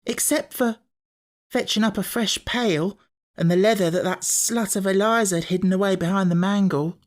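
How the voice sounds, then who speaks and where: A man's voice: high voice, fairly even intonation, moderate pace, voice pushed up, natural voice. A man voicing a flustered, tearful young working-class housemaid who rambles in ungrammatical servant speech for an audiobook. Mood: defensive. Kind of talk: audiobook character acting.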